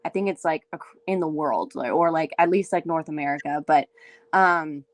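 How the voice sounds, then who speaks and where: A young woman from Windsor, Ontario with a raspy voice, chatting loosely as a singer-songwriter guest, full of fillers. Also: deep voice, moderate pace, no echo. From Windsor, Ontario, Canada. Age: young adult. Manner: chatty, many fillers, laughs easily. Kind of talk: casual interview chat.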